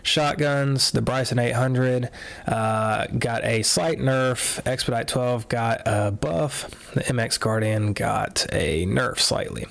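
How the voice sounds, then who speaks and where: A man casually walking through game patch notes live, a little nasal after a cold, talking in a relaxed way with lots of fillers. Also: mid-range voice, slow pace, vocal fry. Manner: relaxed, filler-heavy, offhand commentary. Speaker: gaming content creator.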